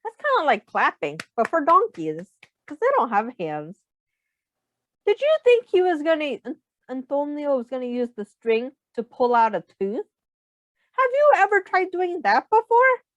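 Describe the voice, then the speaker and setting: Female children's read-aloud teacher, very animated, high voice, asks listeners questions; dry, slightly muffled lo-fi sound. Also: unhurried, no room sound. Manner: simple, guiding questions to listeners. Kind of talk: expressive picture-book read-aloud with questions.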